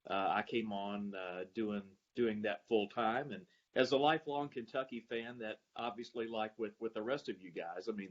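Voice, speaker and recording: A man, a sports announcer answering interview questions in a halting way, searching for his words. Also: lowish voice, lo-fi sound. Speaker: guest, arena public address announcer.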